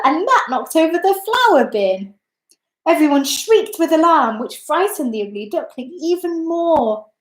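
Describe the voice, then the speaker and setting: A woman reading a children's story as a storyteller, in an expressive, deliberate manner. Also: high voice. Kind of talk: scripted children's story narration. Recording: echoey, roomy sound.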